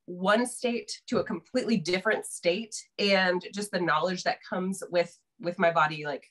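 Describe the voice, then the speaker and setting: A woman's voice: lowish voice, normal speed. Playful woman in her thirties on a call. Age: 30s. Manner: playful, wry and talkative. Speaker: podcast guest. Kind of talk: casual group video call chat. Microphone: video call.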